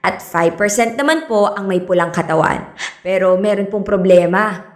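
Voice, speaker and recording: A woman's voice: medium pitch, no room sound, close to an everyday voice. Casting: actress for bubbly yet earnest young female scientist, natural radio drama delivery, dry studio recording. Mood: formal explaining. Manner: expressive, friendly teasing, earnest when explaining. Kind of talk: conversational radio drama acting.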